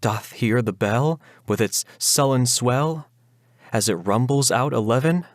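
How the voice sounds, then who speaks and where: A man's voice: mid-range voice, unhurried, no echo, voice pushed up, lightly acted. A grieving young bride, voiced by a man, tender and anxious, raised well above his natural register. She pleads plaintively in archaic Gothic verse, drawn out and yearning, in a dry recording. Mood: anxious, questioning. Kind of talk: dramatic Gothic ballad recitation.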